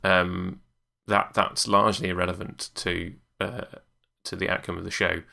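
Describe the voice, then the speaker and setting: A man's voice: deep voice, flat delivery, normal speed, gravelly, pushed and bright. A man co-hosting a podcast in casual, hesitant chat. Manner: hesitant, lots of ums and uhs. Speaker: podcast co-host.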